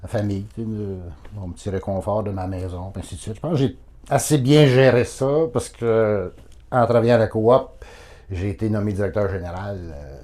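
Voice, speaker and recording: A man's voice: on the low side, a little fast, warm tone. A man in his fifties with a Québécois accent, a town mayor talking casually and plainly as an interview guest. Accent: Québécois French. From Quebec. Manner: plain-spoken, folksy, with frequent fillers.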